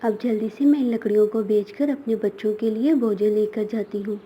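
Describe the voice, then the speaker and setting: A woman voicing a poor, tender, self-sacrificing mother bird in a cartoon, with a gentle motherly manner. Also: mid-range voice, fairly even intonation, brisk, slightly rough, close to an everyday voice. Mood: tender, resigned.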